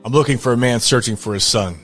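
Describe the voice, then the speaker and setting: A man's voice: mid-range voice, fast talker, a bit bright, soft background music, natural voice. An actor playing a curt, commanding man who demands loyalty, blunt and authoritative, in an audio drama. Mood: cold, controlling.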